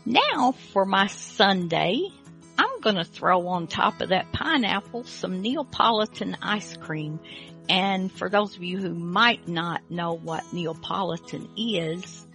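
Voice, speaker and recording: A woman's voice: mid-range voice, expressive, slow pace, fairly bright tone, soft background music. A woman hosting an old-time radio program in a casual, chatty style.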